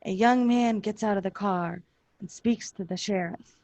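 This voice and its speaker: A woman playing a solemn, ominous narrator in the style of 1940s radio drama, slow and theatrical. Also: mid-range voice, darkish tone, dry recording, muffled recording, natural voice. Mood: solemn, suspenseful.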